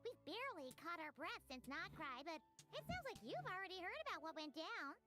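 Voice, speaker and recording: A tiny, girlish companion creature chatters and teases her friends. Her voice is extremely high and leaps about constantly. A game soundtrack plays clearly underneath her. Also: big pitch swings, fairly quick. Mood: teasing. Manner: chatty, teasing, bouncy. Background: game music.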